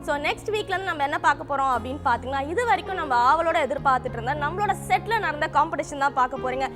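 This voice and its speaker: A woman presents a TV show in a high voice. She addresses viewers in an upbeat hosting style, slipping English words into her speech, with music playing behind her. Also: very fast. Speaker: television show host.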